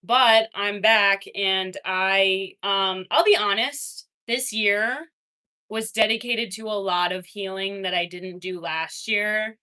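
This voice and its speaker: A young adult woman streaming, casually chatting with her viewers and reading their messages, laughing often and swinging between excited and quiet. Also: medium pitch, takes it slowly. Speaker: livestreamer reading chat. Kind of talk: casual livestream chat.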